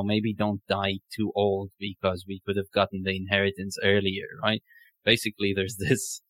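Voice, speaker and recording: A man with a low voice and very even intonation, hosting a conversational interview. He speaks in a philosophical, searching manner, recorded close and dry. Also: no room sound, rough recording. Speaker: podcast host.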